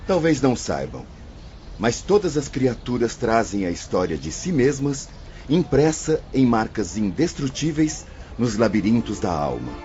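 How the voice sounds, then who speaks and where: A man's voice: medium pitch, very animated, unhurried, a little hiss or hum. A man playing a wise male spirit assistant who teaches newcomers in a reflective, instructive way in an audio drama. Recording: dull audio with soft music under it.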